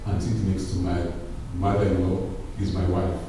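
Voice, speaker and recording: A man's voice: low voice, little pitch movement, moderate pace, dark tone, slight background noise. Man speaking in an echoey hall. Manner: preacher-like, rises to emphatic peaks. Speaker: conference founder giving opening speech. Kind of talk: public speech. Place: large hall. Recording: echoey hall, distant mic.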